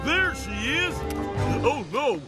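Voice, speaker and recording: A brash, whooping male tourist in a cartoon, pitched very high and swinging wildly up and down. He drawls slowly, and loud music plays behind him on the cartoon soundtrack. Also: big pitch swings, exaggerated voice. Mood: excited.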